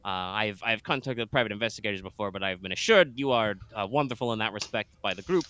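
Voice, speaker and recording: A man's voice: on the low side, expressive, quick pace, a bit bright, slight background noise. A man playing a suave, wry defense lawyer. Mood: persuasive. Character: suave male career defense lawyer in green suits.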